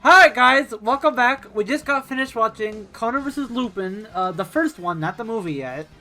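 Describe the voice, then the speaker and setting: A man co-hosting a casual podcast, lively and stumbling over his words at times. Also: extremely high pitch, moderate pace, soft background music. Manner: lively, hesitant restarts, swears casually. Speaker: podcast co-host. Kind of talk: casual chat.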